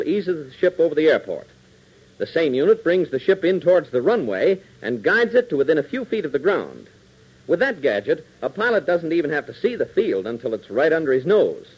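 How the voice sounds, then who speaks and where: A dramatic American male announcer reads scripted serial narration in punchy 1940s style. His mid-range voice is husky and swings in pitch, on a dull, old radio recording.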